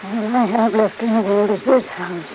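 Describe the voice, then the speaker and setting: A woman's voice: medium pitch, normal speed, natural voice. Actress as a weary old lady, muffled recording. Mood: resigned. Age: elderly. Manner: world-weary, resigned. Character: weary, resigned old lady, broke and ailing. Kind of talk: 1950s radio drama acting. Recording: muffled, noisy 1950s radio recording.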